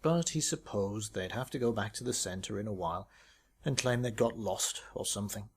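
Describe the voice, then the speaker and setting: A man's voice: fairly low pitch, normal speed, slight background noise. A man reading his own short story as a scripted narration.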